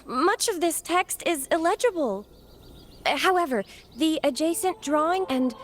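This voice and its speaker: Game companion role: young female cleric, high voice, earnest, gentle, a little hesitant; music underneath. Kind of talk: video game voice acting.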